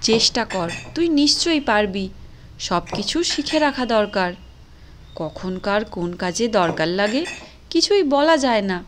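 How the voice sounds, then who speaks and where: A middle-aged village wife, earnest, coaxing, caring, mid pitched, cartoon story. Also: female voice, big pitch swings, measured pace, slight background noise. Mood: encouraging. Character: caring, persuasive middle-aged village housewife, firm with husband. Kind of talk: Bengali moral-story cartoon dialogue.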